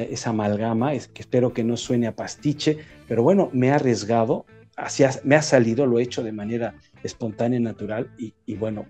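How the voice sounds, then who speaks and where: A man with a Mexican accent talks through his ideas as an interviewed writer, pausing and restarting as he thinks. His voice is warm and dark in tone, at an ordinary middle pitch. Faint music sits low behind him. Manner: reflective, halting, with frequent restarts. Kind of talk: conversational interview.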